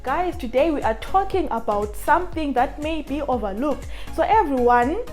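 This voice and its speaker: A woman's voice: sits high, animated, moderate pace, a little mellow, faint music behind. A woman with a Zimbabwean accent hosting a motivational advice vlog, speaking emphatically to her viewers and repeating phrases for stress. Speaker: vlogger, channel host.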